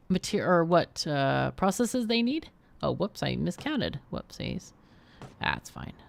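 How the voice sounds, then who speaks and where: A woman giving casual live game commentary, chatty and expressive, often muttering to herself as she thinks aloud. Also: fairly low pitch, creaky. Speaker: game streamer, host.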